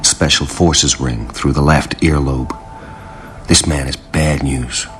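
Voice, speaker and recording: Brooding narrator, extremely low pitch, terse fragments, bleak inner monologue, radio drama, faint music behind. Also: male voice, steady tone, slow, fairly bright tone, exaggerated voice. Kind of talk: scripted first-person drama narration.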